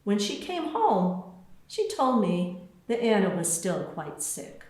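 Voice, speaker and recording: Slow, expressive woman storyteller in an echoey room. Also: medium pitch. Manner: slow, deliberate, expressive phrasing for children. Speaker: children's book author reading aloud. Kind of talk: scripted storybook narration. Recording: very echoey, roomy sound.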